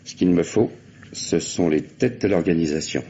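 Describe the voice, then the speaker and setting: An actor playing a shrewd, authoritative police boss with a measured, dryly ironic manner in a radio crime drama. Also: male voice, deep voice, vocal fry, dark tone, slight background noise. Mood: calm, commanding. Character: shrewd, commanding police boss plotting a nighttime sting. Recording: dull vintage radio recording.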